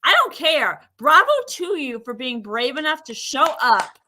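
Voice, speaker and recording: A woman guest on a talk show, chatting in an animated way and acting out what people say. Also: high voice, unhurried. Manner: animated, acts out quoted speech. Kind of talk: casual chat.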